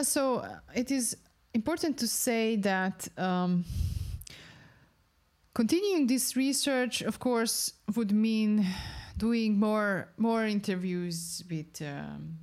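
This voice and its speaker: A woman's voice: medium pitch, slow pace, darkish tone. A young woman researcher in an interview, explaining her study's findings carefully and searching for words as she goes.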